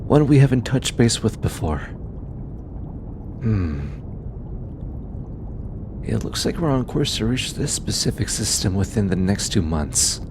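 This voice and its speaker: A man playing a gentle, earnest alien scientist in an intimate audio drama, bookish and easily flustered, with a hesitant, softly stammering delivery. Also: measured pace, pauses often. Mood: earnest, explanatory. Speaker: voice actor. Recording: steady low rumbling background noise.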